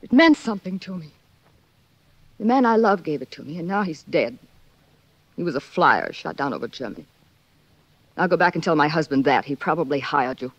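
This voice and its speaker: Anxious young woman, swooping pitch. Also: lively intonation, fairly quick, long pauses, dry recording, muffled recording. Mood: grieving, guarded. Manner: dramatic, anxious, haughty. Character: frightened, proud young married society woman. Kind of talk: 1940s radio acting. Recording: dry 1940s radio drama recording.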